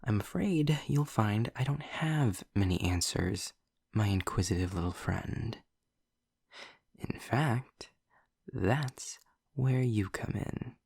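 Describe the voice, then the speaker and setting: A man playing a sarcastic, articulate demon in an audio roleplay, with a gravelly, breathy voice and wry, formal delivery. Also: lowish voice, lively intonation, darkish tone, no echo, clean studio sound, full character voice. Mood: measured, intent. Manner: wry, formal wording, deliberate pauses. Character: sarcastic, snarky male demon, articulate and testy. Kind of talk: scripted audio roleplay monologue addressed to the listener.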